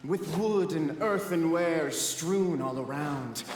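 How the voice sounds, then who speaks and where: An actor playing a pompous, touchy clan storyteller in a fantasy game, with flowery delivery and hall reverb. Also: male voice, a little high, slow pace, faint music behind. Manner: flowery, narrating.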